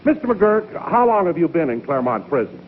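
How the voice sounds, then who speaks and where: A man's voice: a little high, big pitch swings, fairly quick, noisy background. A man playing an earnest, formal announcer who interviews inmates in a 1950s TV comedy sketch, speaking emphatically. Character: male announcer touring a prison, formal and earnest. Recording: narrow-band 1950s broadcast with music.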